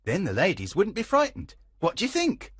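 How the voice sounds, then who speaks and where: A man voicing a self-important, argumentative rustic amateur actor with a rough, husky voice in a storybook reading. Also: sits high, huge pitch swings, normal speed, a bit bright, dry recording, clean studio sound, voice pushed up, full character voice. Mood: eager, self-important.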